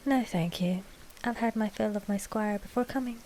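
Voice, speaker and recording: A woman's voice: medium pitch, moderate pace, a little mellow, slight background noise, natural voice, low-quality recording. Casting: actress as female vampire royal for audio drama. Proud, possessive yet frank, mid-range voice, precise formal diction. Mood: imperious, protective. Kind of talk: scripted audio roleplay drama.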